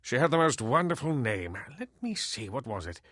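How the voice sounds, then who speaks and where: An actor playing an ancient, reclusive elf sage in a video game, sardonic and weary, with theatrical, deliberate delivery. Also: male voice, medium pitch, big pitch swings, a bit bright, no echo, studio quality. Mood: wistful. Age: older.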